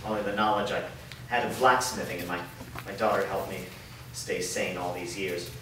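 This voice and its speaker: Man acting a weary low-voiced father, echoey. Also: fairly even intonation, moderate pace, fairly bright tone. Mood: bitter grief. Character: weary, guilt-ridden blacksmith father in a fantasy world. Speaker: actor. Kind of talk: staged reading of a fantasy drama. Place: echoey room, recorded from a distance at a staged reading. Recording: echoey room, distant mic, clear background noise.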